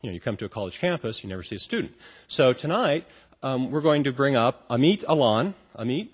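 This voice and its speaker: A man's voice: medium pitch, very animated, a little fast, breathy edge. A man moderating a public panel and introducing a speaker, talking loosely with many ums and restarts. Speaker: event moderator. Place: college auditorium stage.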